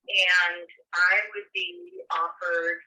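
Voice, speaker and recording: A deaf actress speaks very slowly and pauses to find her words. Her tone is bright and sharp. She comes through a thin phone line from a distance. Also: lowish voice, flat delivery. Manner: slow, searching for words. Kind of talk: interview answers.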